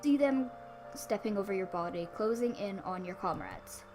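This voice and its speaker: A woman's voice: mid-range voice, expressive, normal speed, music in the background. A woman reading a visual novel aloud as a let's play host, dropping in casual asides. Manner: informal asides between read passages.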